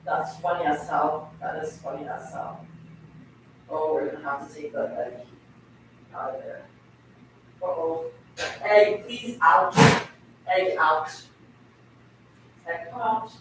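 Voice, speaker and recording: A woman's voice: low-pitched, lively intonation, slow pace, long pauses, far from the mic, noisy background. A young woman from Turkey chatting with her live stream audience while she cooks, giggly and talkative, speaking to the food as she goes. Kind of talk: casual live stream chat while cooking. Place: home kitchen. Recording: echoey room, mic set back.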